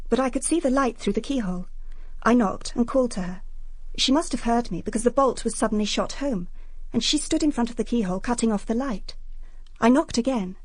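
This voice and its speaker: Radio drama: actress for wounded young English lady, higher-pitched, earnest, self-justifying, close dry sound. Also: darkish tone, dry recording, close to an everyday voice. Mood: tense, wounded. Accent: British English. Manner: earnest, confessional.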